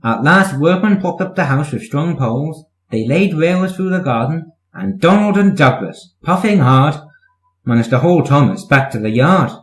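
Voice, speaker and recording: A man's voice: on the high side, expressive, moderate pace, echoey room, soft background music, low-quality recording. A man reading a children's story as scripted narration, in the manner of a storyteller. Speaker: story reader.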